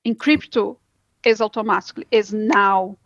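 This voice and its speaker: A woman's voice: medium pitch, steady tone, normal speed, fairly bright tone, dry recording, highs cut off. A woman from Brazil with a Brazilian Portuguese accent, speaking English as a guest in an interview, earnest and enthusiastic, often restarting her sentences. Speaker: guest, crypto educator. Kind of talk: interview conversation.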